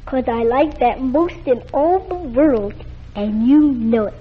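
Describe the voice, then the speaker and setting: A woman's voice: high-pitched, lively intonation, measured pace, breathy edge, full character voice. An actress playing a chatty nine-year-old girl sick in bed, in 1940s radio acting. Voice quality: girlish and eager. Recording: muffled old radio broadcast.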